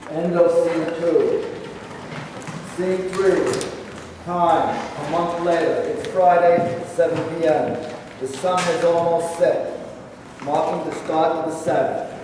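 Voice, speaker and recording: A man's voice: a little high, steady tone, slow pace, noisy background. A man reading stage directions as a scripted narrator. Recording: very echoey hall, distant mic.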